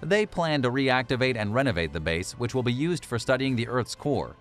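A man's voice: medium pitch, sing-song, normal speed. Man narrating over background music. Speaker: narrator of a list video. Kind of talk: scripted documentary-style narration.

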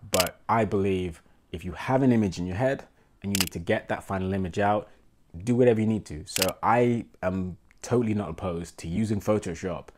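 A man in his thirties with a London accent, a portrait photographer vlogging to camera in a small home room, congested with a cold, talking in a relaxed, rambling, self-deprecating way. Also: deep voice, fairly quick, noisy background. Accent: London English. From England. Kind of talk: casual talking-to-camera vlog.

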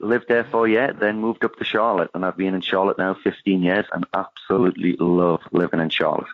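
Geordie chef guy on a phone line, lowish voice, chatty and laughy, lots of uhs, dry sound. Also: steady tone, fairly quick, no room sound. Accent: Geordie English. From northeast England. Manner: chatty, laughs easily, frequent "uh" fillers. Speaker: chef guest. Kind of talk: casual interview chat.

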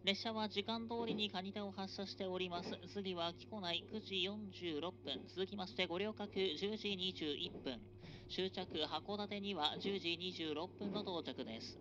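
A train conductor making formal, measured announcements over an express train's public address system. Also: male voice, high-pitched. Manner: formal, measured announcer cadence with drawn-out phrases. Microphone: train PA system recorded from a passenger seat. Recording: dull, noisy onboard PA recording.

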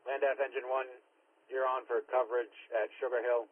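A male fire and ambulance dispatcher speaks over a two-way radio in a clipped, procedural way. His voice is medium-pitched and rough-edged. The sound is narrow and tinny, like a scanner feed. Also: level tone, normal speed. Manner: clipped, repeats units and times. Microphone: dispatch radio microphone.

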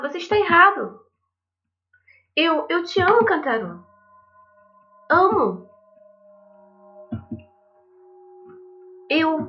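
A woman's voice: high-pitched, pitched above the performer's own voice. A woman voicing an unstable, lovesick teenage schoolgirl from a visual novel, pleading and repeating herself dramatically. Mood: tearful, desperate. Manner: stammering, pleading, dramatic repetition. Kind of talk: visual novel character read-aloud. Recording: dull lo-fi mic, music behind.

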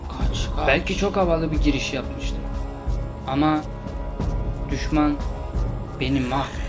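A man's voice: on the high side, unhurried. A man hosting a gaming video, giving casual, slangy commentary with playful exclamations and improvised role-play. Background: loud plucked-string game music.

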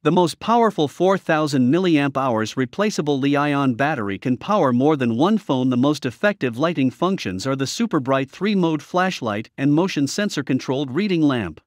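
Man narrating products, lively pitch. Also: no room sound, clean studio sound. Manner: reading from a script. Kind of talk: scripted promotional voice-over narration.

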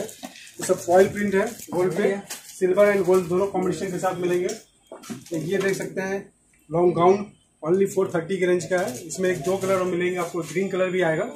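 A man's voice: sits high, level tone, brisk, crowd noise. A man in his thirties presenting clothing stock on camera in salesman's patter, mixing in English words. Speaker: garment wholesaler presenting stock. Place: small indoor clothing shop. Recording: clearly noisy shop audio with clicks.

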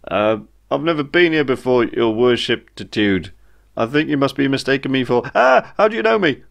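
Man doing a timid, creaky space traveller. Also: medium pitch, lively intonation, normal speed, pitched above the performer's own voice. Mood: nervous, polite. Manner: hesitant, polite, eager to please. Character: timid, chatty male hairdresser space traveller.

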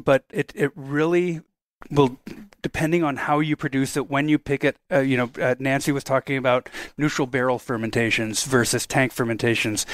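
A man who makes wine, talking at length in a casual roundtable, joking and relaxed, with frequent restarts. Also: medium pitch, no echo. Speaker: winemaker guest.

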